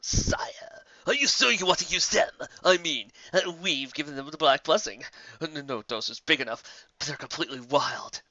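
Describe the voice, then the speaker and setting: A dutiful monster officer performed by a male story reader barks reports in a raised, forceful voice. The delivery is military and deferential, pitched up and loud, from a fantasy audio story. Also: lively intonation, moderate pace, dry recording, muffled recording. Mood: deferential, uneasy. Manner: barking, clipped military deference.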